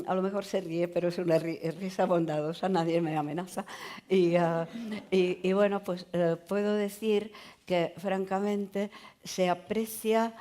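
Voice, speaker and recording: A woman writer on a literary panel speaking Spanish as a learned language. She talks hesitantly, with many pauses and fillers. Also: lowish voice, mellow. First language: not Spanish. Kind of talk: conversational panel talk.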